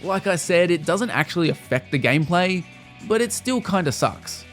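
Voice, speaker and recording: A man's voice: high-pitched, expressive, normal speed, fairly bright tone, soft background music. A man narrating a scripted video game review in a casual, wry manner with the odd mild swear.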